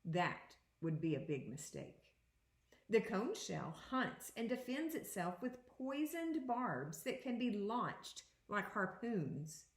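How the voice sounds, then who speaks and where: A woman teacher giving an interactive read-aloud for young children, reading deliberately and pausing to put questions to her listeners. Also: mid-range voice, big pitch swings, reverberant. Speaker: second grade teacher.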